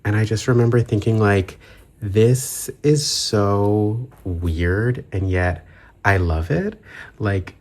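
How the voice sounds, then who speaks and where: A man's voice: lowish voice, takes it slowly, darkish tone. A man chatting informally as the host of a hobby vlog, his voice affected by the tail end of a cold. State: getting over a cold. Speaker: YouTube channel host, collector.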